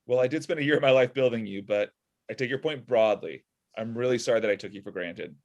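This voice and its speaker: A man's voice: medium pitch, fast talker, natural voice. A man in his thirties playing a sardonic, frustrated robot inventor in a comedy table read over a video call from home. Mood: apologetic, urgent. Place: small room at home.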